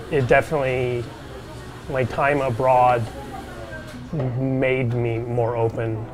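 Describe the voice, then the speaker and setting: A man in his twenties, a former exchange student, speaking in a halting, thoughtful way with many restarts. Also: medium pitch, poor audio. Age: 20s. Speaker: former study abroad student. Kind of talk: casual interview talk. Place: small shop, indoors.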